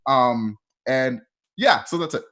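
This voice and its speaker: A man's voice: mid-range voice, animated, slow, bright, forceful tone. A man who acts in an audio drama, chatting casually as a cast member. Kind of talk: casual chat.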